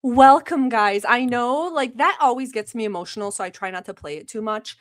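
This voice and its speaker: A woman's voice: a little high, a little fast. A young woman born in Turkey, Turkish her first language, hosting a casual livestream, chatty and teasing, laughing often and swearing casually. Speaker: livestream host. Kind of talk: casual livestream chat reacting to a video.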